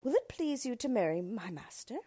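A woman's voice: on the high side, sing-song, moderate pace, mellow, no echo, voice pushed up. A woman reading the part of a devoted, courteous young male ambassador. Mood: earnest. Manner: earnest, courteous.

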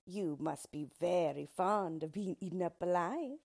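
A woman voicing an ugly, boastful male dwarf who talks in a smug, disdainful, drawn-out way, in a storybook reading. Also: fairly low pitch, vocal fry, a little mellow.

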